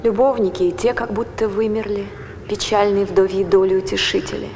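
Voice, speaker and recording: An actress playing a determined Greek wife who rallies women against war, in a theatrical film style. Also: female voice, mid-range voice, steady tone, fairly quick, dark tone, close to an everyday voice. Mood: resolute. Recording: echoey hall, long reverb, background noise.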